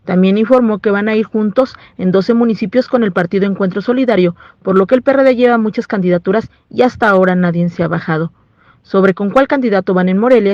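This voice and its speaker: A woman's voice: mid-range voice, a little mellow, no room sound, lo-fi sound. A woman with a Mexican accent reading a scripted news report as a reporter.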